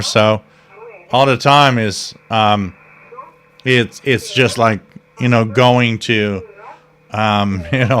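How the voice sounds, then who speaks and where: A man's voice: medium pitch, fairly bright tone. A man co-hosting a live radio stream, chatting casually and rambling through stories with lots of fillers and restarts, laughing at himself. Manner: laughs at his own stories.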